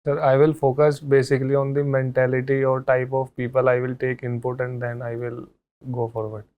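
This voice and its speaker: Young man answering flatly. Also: medium pitch, fairly quick, phone-quality sound. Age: 20s. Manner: brief, respectful replies. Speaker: interview candidate.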